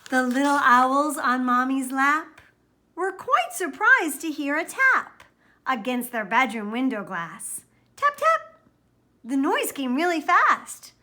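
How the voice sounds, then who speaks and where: A woman's voice: high voice, big pitch swings, slow pace, bright, forceful tone. A woman reading a children's picture book aloud expressively, with dramatic, careful emphasis. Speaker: storybook reader.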